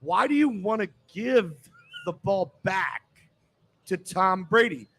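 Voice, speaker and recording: A man's voice: high voice, very animated, slow pace, a bit bright. A man hosting a live sports talk show, speaking in an assertive, opinionated way and often jumping in.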